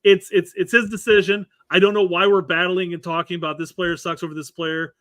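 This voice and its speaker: A man's voice: sits high, level tone, brisk, fairly bright tone, no echo, clean studio sound. A man co-hosting a casual soccer talk show, often stumbling and repeating words as he restarts. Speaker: co-host, former striker.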